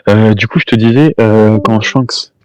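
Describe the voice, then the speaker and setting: A man's voice: fairly low pitch, a little slow, a little mellow, clear, resonant tone, dry recording, poor audio. A man streaming from home, arguing heatedly with a caller, repeating himself, talking over the other person and throwing insults. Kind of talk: heated live-stream argument.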